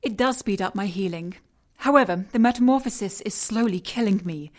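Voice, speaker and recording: A woman's voice: medium pitch, fairly quick, a little mellow, close to an everyday voice. An actress playing a cold, obsessed female scientist and shapeshifter villain in an audio drama, composed, clinical and condescending.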